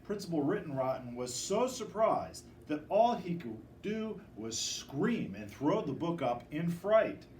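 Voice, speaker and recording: Expressive male storyteller, animated, distant mic. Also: a little high, unhurried, few pauses, echoey room. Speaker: storybook reader. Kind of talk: children's picture book read-aloud. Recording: distant microphone with faint background noise.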